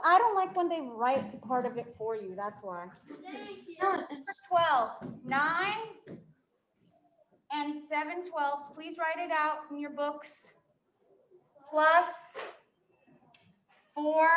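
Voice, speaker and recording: A woman's voice: high-pitched, expressive, slow, pauses often, a bit bright, reverberant, poor audio. A woman with a New York accent teaching a fifth-grade math class, working problems aloud and quizzing her students. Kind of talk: live classroom math lesson.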